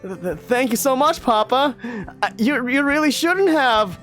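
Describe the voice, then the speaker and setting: A man's voice: extremely high pitch, lively intonation, a little slow, a bit bright, faint music behind, voice pushed up, exaggerated voice. A man voicing a timid, small young bard son who stammers and speaks meekly. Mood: shy delight. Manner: stammering, meek.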